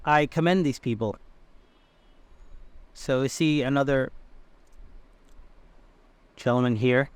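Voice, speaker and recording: A man from Bangladesh giving casual, halting commentary as a channel host, repeating himself with many fillers. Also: mid-range voice, smooth. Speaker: channel host reacting to clips.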